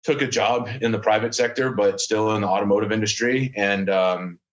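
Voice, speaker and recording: A man's voice: lowish voice, fairly even intonation, a little fast, dull audio. A man in his thirties, a podcast guest, talking casually and rambling with lots of fillers and restarts. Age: 30s. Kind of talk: casual chat.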